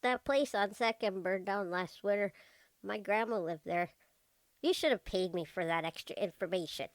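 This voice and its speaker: A woman's voice: medium pitch, moderate pace, no room sound, close to an everyday voice. A woman playing a cagey, street-smart eleven-year-old girl in an old-time radio detective drama. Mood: guarded, matter-of-fact.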